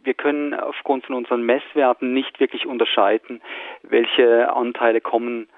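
A man's voice: mid-range voice, little pitch movement, normal speed, dry recording. A man answering interview questions as a scientist, hesitant with many fillers. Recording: tinny phone line.

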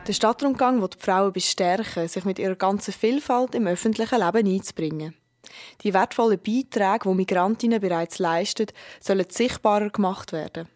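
A woman narrates a radio news feature. Her delivery is scripted, measured and even, at a middle pitch. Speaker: radio reporter.

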